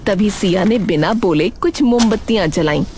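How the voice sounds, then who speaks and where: A woman reading expressive scripted narration for a cartoon story. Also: medium pitch, normal speed, a little hiss or hum.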